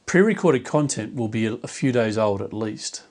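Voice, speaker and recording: A man who runs a church media team, delivering a scripted explainer video in a clear, measured way. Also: mid-range voice, lively intonation, darkish tone.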